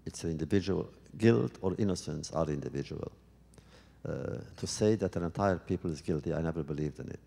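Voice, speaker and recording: Gravelly, husky man in a reverberant hall. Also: rumbling low, mellow. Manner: halting, thoughtful, frequent restarts. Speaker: guest speaker answering audience questions. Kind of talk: public talk with Q&A.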